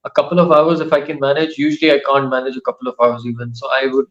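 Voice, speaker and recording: A male author, whose first languages are Urdu and Saraiki, giving casual interview answers in a thoughtful way that pauses often mid-sentence. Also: mid-range voice, flat delivery, lo-fi sound. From Pakistan. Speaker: author and writing teacher.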